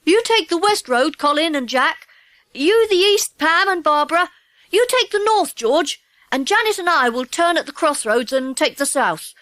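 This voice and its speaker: Schoolboy gang leader for a children's story, played by a woman: high, animated, earnest and decisive. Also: normal speed, no room sound. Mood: bossy. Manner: eager, bossy, earnest. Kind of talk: children's audiobook character acting.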